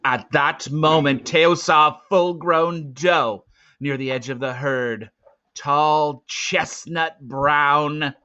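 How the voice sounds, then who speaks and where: A man reading a storybook aloud as narrator, with dramatic, suspenseful swells and hushed drops. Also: a little high, steady tone, slow pace. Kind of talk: expressive storybook read-aloud. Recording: dull lo-fi, roomy echo.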